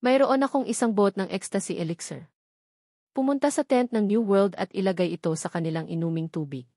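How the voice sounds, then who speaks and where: A woman voicing a stern, measured male martial-arts master in a storybook reading. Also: mid-range voice, mellow, no room sound, studio quality, natural voice. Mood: coldly commanding.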